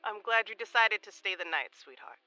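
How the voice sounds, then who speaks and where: A woman's voice: mid-range voice, expressive, brisk, pushed and bright, dry recording, rough recording. An actress playing a doting older mother in an audio drama, affectionate and playfully bossy. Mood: affectionate, teasing.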